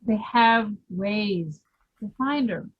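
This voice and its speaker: Woman acting a husky, halting neighbour, slow-spoken. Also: mid-range voice, highs cut off. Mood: concerned, reassuring. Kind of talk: radio play acting.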